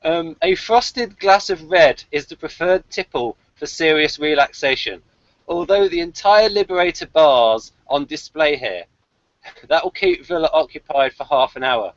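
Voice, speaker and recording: A man's voice: fairly high pitch, a little slow, no room sound, muffled recording. A man hosting a casual review, reading his notes aloud with dry, jokey asides. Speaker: podcast host reading out his episode review.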